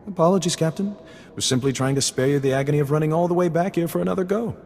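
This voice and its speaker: A man's voice: on the high side, very fast, darkish tone, slight background noise, lightly acted. Man acting sly courteous smuggler informant, echoing hall. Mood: polite, amused. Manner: florid, mock-polite, wordy. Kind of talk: video game character dialogue. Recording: reverberant hall sound under music.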